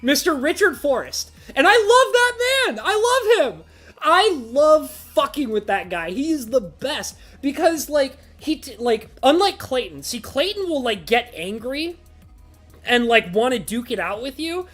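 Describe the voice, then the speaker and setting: A woman's voice: sits high, sing-song, unhurried, a bit bright, soft background music. A woman chatting casually on a live stream, gushing, laughing often and swearing freely, with lots of filler words. Her voice is scratchy from laughing so hard. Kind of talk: casual livestream chat, reading chat messages.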